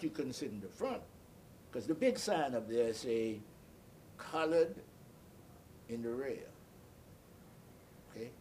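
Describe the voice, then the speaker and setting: Elderly man, mid-pitched voice, halting reminiscence, explains as he goes, faint rumbling noise. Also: darkish tone. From Bahamas. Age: 80s or older. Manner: deliberate, halting storyteller, repeats and explains. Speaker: interviewee. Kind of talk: oral history recollection.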